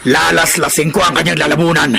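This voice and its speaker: An actor playing a cruel, bloodthirsty jailed accomplice in a radio drama. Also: male voice, on the high side, level tone, quick pace, gravelly, pushed and bright, faint music behind, exaggerated voice. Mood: menacing. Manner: menacing, boastful.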